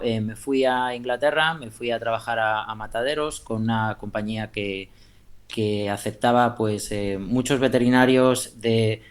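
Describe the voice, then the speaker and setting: A man in his forties from Madrid, speaking with a Spain Spanish accent. He is an interview guest who tells his story at an easy, thoughtful pace, with a middle-range voice. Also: mid-range voice. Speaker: podcast guest. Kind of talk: casual interview.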